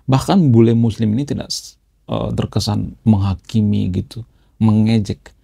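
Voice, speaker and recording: A man gives chatty commentary for his channel in a low voice. His tone is gravelly and dark. The sound is dry and close, and he keeps dropping a little "ya" between phrases. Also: normal speed, no room sound. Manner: chatty, adds "ya" after nearly every phrase. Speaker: YouTube channel host. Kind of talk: casual commentary.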